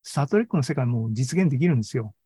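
A man presents an informal online tech talk in a mellow, relaxed voice. He thinks aloud in a conversational way and keeps tagging sentences for agreement. The sound is close and dry. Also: mid-range voice, racing, breathy edge, no room sound. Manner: conversational, seeks agreement with frequent sentence-end tags. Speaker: presenter of an online AI seminar and podcast. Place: indoors, live online video meeting.

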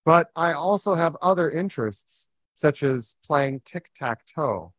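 A man's voice: a little high, expressive, drawn-out words, no room sound. A synthetic man's voice giving a casual, hesitant self-introduction as a speech sample. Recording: dull lo-fi generated audio.

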